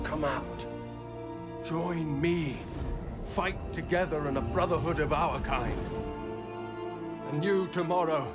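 A man playing a commanding, solemn rebel leader in a film, giving a measured, oratorical speech. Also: fairly high pitch. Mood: rallying. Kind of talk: cinematic rallying speech. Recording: film speech under loud music.

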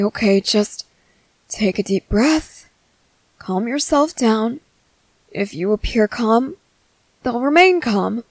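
A woman voicing a gentle young merman in an ASMR roleplay, hesitant, soft-spoken, earnest and apologetic. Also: on the high side, no echo, poor audio. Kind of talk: ASMR roleplay, scripted character acting.

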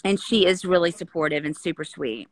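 A woman's voice: fairly low pitch, lively intonation, fairly quick, no room sound. A woman in her fifties joining a casual video call from home, earnest and encouraging. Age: 50s. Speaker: guest caller. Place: indoor home room. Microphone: phone front camera, video call.

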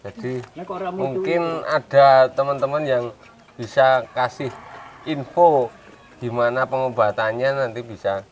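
A man's voice: medium pitch, a little slow, a little hiss or hum. Young Javanese man touring a goat yard. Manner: relaxed, chatty, mixing in local words, jokey asides. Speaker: goat farm vlogger. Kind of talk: casual on-camera farm tour. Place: outdoor village yard with goats. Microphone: small lapel mic.

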